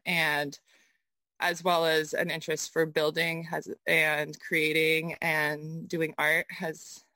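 A woman's voice: deep voice, sharp tone, no echo. American woman, hesitant, in a dry recording. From United States, mainly Southern California. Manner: hesitant, searching for words. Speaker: interview guest, designer and sculptor. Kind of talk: conversational interview answers.

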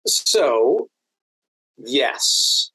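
An American man speaking as a podcast guest, telling stories in a halting, deliberate way with dry amusement. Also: medium pitch, sing-song, sharp tone, husky, dry recording, rough recording. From Washington DC, United States. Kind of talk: anecdotal interview conversation.

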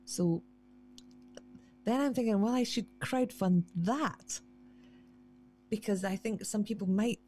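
A woman's voice: medium pitch, takes it slowly, long pauses, dark tone, breathy edge, rough recording. A woman harpist, chatting casually and self-deprecatingly as a guest in an interview, often restarting her sentences.

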